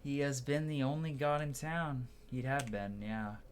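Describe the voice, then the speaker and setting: A man's voice: medium pitch, measured pace, slight background noise. A man doing a playthrough, reading game dialogue aloud dramatically and stumbling now and then before restarting lines. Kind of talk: gameplay commentary with dramatic read-aloud dialogue.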